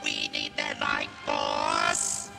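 Evil robot alien, really high filtered voice, staccato orders, loud music behind it. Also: male voice, wildly swooping pitch, drawn-out words, creaky, exaggerated voice. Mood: cold, threatening. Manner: staccato, commanding, rigid delivery. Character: imperious, merciless alien Dalek war machine. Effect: harsh electronic robot filter. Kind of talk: amateur sci-fi audio drama.

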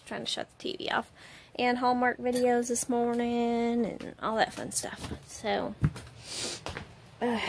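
A woman's voice: sits high, noisy background. A woman, chatting casually to a phone held at arm's length, congested and a little nasal, tired, rambling with lots of fillers. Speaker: vlogger. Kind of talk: casual chat to camera. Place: home kitchen and parked car.

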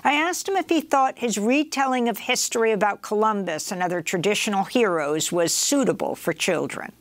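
A woman news host reading a scripted introduction in a TV studio with a measured, clear delivery. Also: medium pitch, no room sound.

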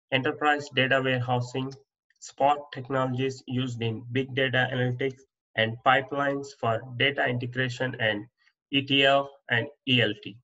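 A man reads through interview answers in a plain, steady voice-over. His tone is bright and clear. The recording sounds a little dull and lo-fi. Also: medium pitch. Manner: plain, steady reading with small restarts. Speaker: instructor. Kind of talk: reading interview answers off slides. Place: voice-over on slide presentation.

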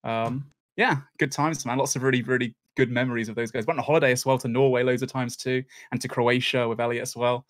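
A man in his twenties from England, a podcast guest telling stories on a video call, relaxed and laughing often. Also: mid-range voice, fast talker, few pauses, no room sound, clean studio sound. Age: 20s. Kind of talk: casual podcast chat.

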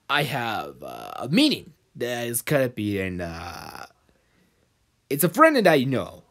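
Guy doing a hesitant explosive cartoon bird, slow and awkward, pitch jumps all over the place. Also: fairly bright tone, exaggerated voice. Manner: halting, awkward, hesitant with sudden bursts. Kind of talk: cartoon character voice reel.

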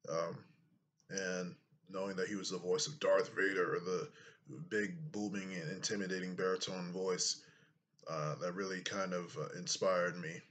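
Man, deep voice, very low pitch, slow, thoughtful, webcam vlog, small room. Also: little pitch movement, fairly bright tone. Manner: unhurried, thoughtful, hesitant with fillers. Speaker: YouTuber. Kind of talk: casual vlog commentary.

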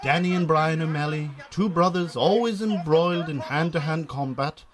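A man narrating a comic radio drama in a theatrical storytelling style with deadpan asides. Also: a little high, normal speed, a crowd in the background.

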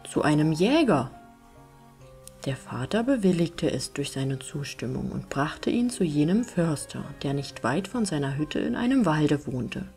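A woman's voice: sits low, big pitch swings, moderate pace, hardly any pauses. A woman reading a fairy tale aloud as scripted audiobook narration. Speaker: storyteller, audiobook reader. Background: soft music.

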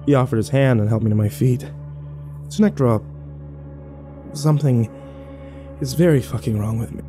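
A man's voice: mid-range voice, moderate pace, dark tone, faint music behind, natural voice. A man playing a sarcastic, battered young man who narrates his own horror audio drama with wry, sweary self-deprecation. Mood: exasperated.

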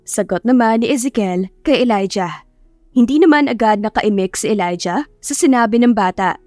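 A woman narrating a scripted romance audiobook in a steady, measured reading. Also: a little high, no echo, soft background music.

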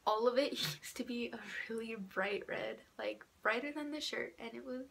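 Young woman of eighteen, stuffed up from a cold, chatty and sarcastic, bright voice with vocal fry, small room, faint traffic behind. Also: fairly high pitch, normal speed, poor audio. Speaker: vlogger introducing herself. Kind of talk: casual chat to camera. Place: small indoor room by a door. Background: passing cars.

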